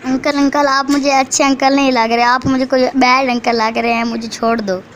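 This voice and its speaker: A woman's voice: high-pitched, little pitch movement, moderate pace, highs cut off, close to an everyday voice. A woman voicing a spoiled, snobbish little boy bird from a rich home, childlike and bratty, in a cartoon moral story. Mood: suspicious. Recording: faint background noise.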